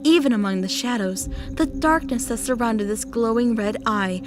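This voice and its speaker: A young woman acting a calm, mysterious big sister telling tales in a measured way in an audio play. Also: fairly high pitch, music in the background, natural voice. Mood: eerie suspense. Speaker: storyteller. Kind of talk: dramatic storytelling in an audio play.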